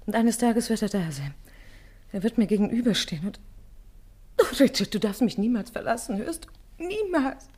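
A woman acting a fearful, guilt-ridden runaway wife in a melodramatic radio play, with a halting, pleading, overwrought delivery. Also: on the high side, lively intonation, dark tone, slightly rough.

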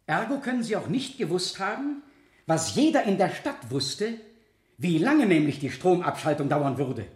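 A man's voice: sits high, big pitch swings, moderate pace, reverberant. A man acting a relentless, scornful public prosecutor in a 1970 German radio drama, with theatrical, insistent rhetoric. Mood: thundering accusation. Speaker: actor in a radio play.

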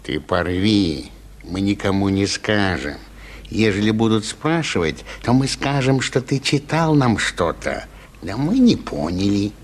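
Slow, lowish, sly official. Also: male voice, expressive. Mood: cautious. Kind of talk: theatrical radio play acting.

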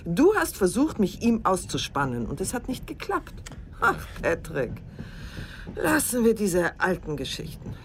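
An actress playing a seductive, teasing middle-aged newlywed in a radio drama, worldly and unrepentant, with an ironic, coquettish way of talking. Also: female voice, mid-range voice, wildly swooping pitch, unhurried, slight background noise. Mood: mocking, amused.

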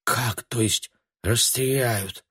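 A man's voice: lowish voice, big pitch swings, a little slow, slightly rough, no room sound, studio quality, voice pushed up, full character voice. A man voicing an outspoken, indignant female shop assistant in an audiobook, with a forthright, exasperated manner. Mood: shocked disbelief.

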